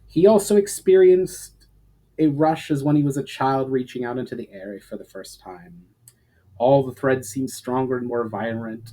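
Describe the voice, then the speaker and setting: A man reading fantasy fiction aloud as a dramatic narrator, deliberate, with emphatic phrase openings that fall away at the ends. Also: mid-range voice, mellow, rough recording. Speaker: author reading own story aloud.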